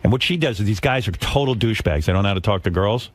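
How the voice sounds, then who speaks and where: A man's voice: fairly low pitch, expressive, quick pace, no echo. A man hosting a talk radio show, chatting in a blunt, teasing, crude and bantering way.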